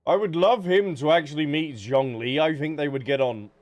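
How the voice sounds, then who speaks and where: A man's voice: fairly high pitch, moderate pace, background noise. A man giving casual, chatty livestream commentary over a video game, with jokey asides. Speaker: game streamer. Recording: game music behind voice.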